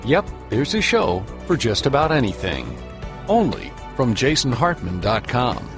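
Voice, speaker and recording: A man's voice: medium pitch, very animated, unhurried. An American man reading a scripted promotional spot as an announcer. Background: music.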